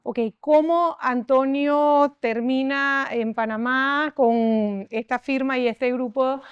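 High-pitched woman host, smooth resonant voice, polished lively questions, dry studio sound. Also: no room sound, lo-fi sound. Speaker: TV interview host. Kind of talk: studio TV interview. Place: TV studio set. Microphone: lapel mic.

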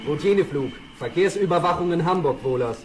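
An actor playing a matter-of-fact police sergeant in a radio play, clipped and dutiful. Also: male voice, fairly high pitch, lively intonation, fairly quick, close to an everyday voice. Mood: businesslike. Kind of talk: German children's radio play acting. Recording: very noisy, vehicle sounds behind.

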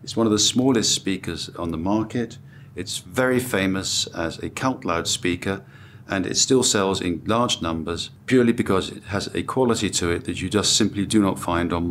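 A British man in his sixties speaks in a deep voice. He explains products in a measured, informative way, like a director showing his range. Faint background noise sits behind him. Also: a bit bright. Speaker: company director presenting products. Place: hotel room at an audio show.

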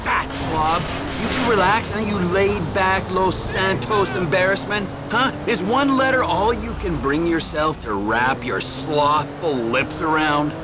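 An actor playing a volatile, middle-aged criminal psychopath in a video game, with a sardonic, theatrical, needling delivery. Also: male voice, high-pitched, unhurried, hardly any pauses, background noise. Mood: angry ranting. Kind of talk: video game dramatic acting.

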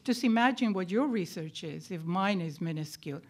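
An older woman giving a personal account as an academic panelist, speaking deliberately with emphatic stresses into a table microphone in a lecture room. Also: on the low side, animated, heavy background noise. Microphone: microphone on a table stand.

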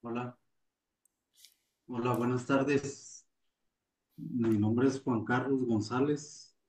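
A man's voice: mid-range voice, fairly even intonation, drawn-out words, darkish tone, slight background noise. A man in his forties with a Mexican accent, speaking plainly and earnestly on a video call, sitting back from the camera. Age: 40s. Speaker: resident of a rehab farm. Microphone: video call from far off.

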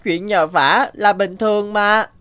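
A woman voicing an anxious, questioning young bird in an animated folk tale, earnest and fretful. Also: medium pitch, slow, smooth. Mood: worried, puzzled. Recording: music behind the voice.

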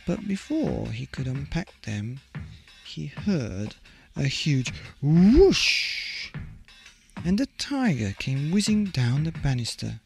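A man narrating a children's storybook in a theatrical, deliberate way as a bedtime podcast host. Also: a little high, very animated, creaky, soft background music.

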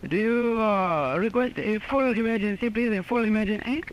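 A man working as an air traffic controller gives formal, halting radio calls, often repeating his phrases. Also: high voice. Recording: archival air traffic radio with faint noise.

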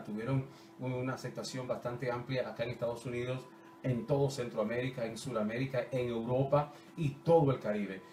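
A man's voice: mid-range voice, a little mellow. A middle-aged man hosting a radio interview, conversational, chiming in with short supportive remarks. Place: small radio studio with curtain backdrop. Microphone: desk mic on stand, heard from a distance. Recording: roomy studio with background noise, distant mic.

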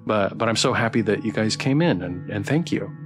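A man's voice: on the low side, lively intonation, a little fast. A man hosting a game livestream, chatting casually in a welcoming, appreciative and rambling way. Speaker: game streamer and host. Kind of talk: casual livestream chat while playing a visual novel. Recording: music behind the voice. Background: plucked guitar game music.

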